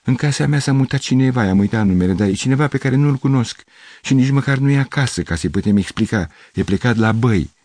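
An anxious, worn-out middle-aged musician from a storybook, voiced by a man. He pleads and stammers, his voice straining upward as he frets. The tone stays dark and gravelly, with a dry, close sound. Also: fairly low pitch, animated, no room sound, voice pushed up, exaggerated voice. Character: flustered tired middle-aged male musician.

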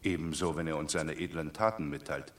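An actor playing a shrewd Roman commoner, reasoning wryly in a classic radio drama. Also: male voice, very deep voice, fairly quick.

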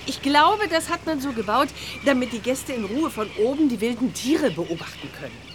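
Motherly witch, sensible and sceptical, slightly high with lively intonation, children's radio play. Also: normal speed, noisy background. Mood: curious. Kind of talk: German children's audio drama acting.

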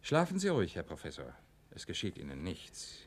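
An authoritative, cynical officer in a wartime radio play. His voice is very deep and rough-edged, with dramatic swoops in pitch. He sounds suave and sardonic, always in command. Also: huge pitch swings, brisk, full character voice. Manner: smooth-talking, sardonic, in command. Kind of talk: German radio play acting.

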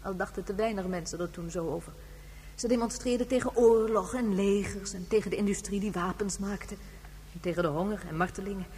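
An actress playing a very old, gentle woman who reflects and reminisces in a measured way, in a science fiction radio drama. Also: medium pitch, steady tone, slightly rough, natural voice. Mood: reminiscing. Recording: hollow long reverb, humming background noise.

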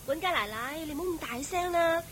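An actress with a Hong Kong Cantonese accent voicing an anxious young maid who speaks in hushed pleas. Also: female voice, sits high, expressive, fairly quick, a crowd in the background.

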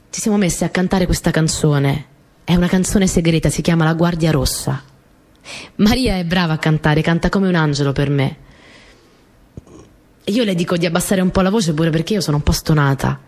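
An actress playing a spirited, wistful country girl recalling wartime life in an expressive theatrical monologue. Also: deep voice. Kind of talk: theatrical first-person monologue, storytelling.

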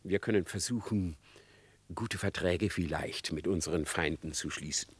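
An actor playing a sly, self-assured fox in a verse radio play, speaking in eloquent theatrical declamation. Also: male voice, low voice, moderate pace, husky. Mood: smooth, self-assured.

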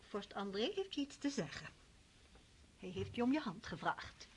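A woman's voice: mid-range voice, lively intonation, normal speed, slight background noise, lightly acted. An actress playing a dignified, courteous middle-aged countess and mother in a radio play.

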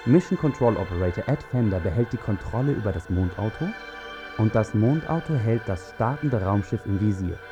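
An actor playing a commentator in an experimental radio drama, explaining and declaiming like a presenter of space technology. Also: male voice, fairly low pitch, expressive, fairly quick, close to an everyday voice. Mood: explanatory. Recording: dull muffled sound with music bed.

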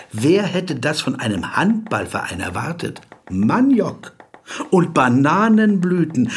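A man's voice: mid-range voice, husky. A man acting a pedantic, brooding, awkward corporate lawyer in a German radio drama, fussy and dryly ironic in his digressions. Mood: dryly wistful. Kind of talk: German radio drama, dialogue and inner monologue.

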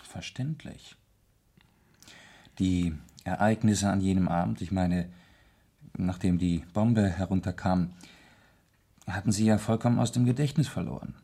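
A polite, probing lord in a radio play, listens and questions with measured courtesy. His voice is fairly low, with a gravelly, breathy grain. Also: fairly even intonation, dark tone, exaggerated voice. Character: courteous, inquisitive English gentleman lord hearing a story.

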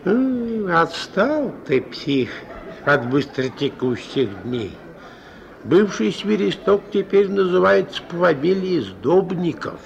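An actor playing a worn-out old ex-convict in a radio play, sly and meek, talking in folksy thieves' talk with wheedling pet words. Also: male voice, high-pitched, very animated, slow, creaky, muffled recording, a little hiss or hum, exaggerated voice. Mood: sly, admonishing. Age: older. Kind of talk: mid-century radio play acting. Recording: old radio recording with music behind.

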